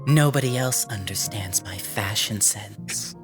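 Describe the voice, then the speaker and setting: Woman as a low, slow deranged princess. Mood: creepy intimate. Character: deranged, clingy red-eyed princess, theatrical. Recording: music behind the voice.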